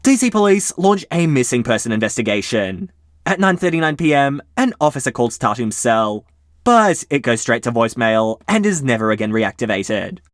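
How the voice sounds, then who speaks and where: A man hosting his channel, reading mystery posts aloud and breaking off for casual asides in a lively storytelling way. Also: medium pitch, normal speed, dry recording, clean studio sound.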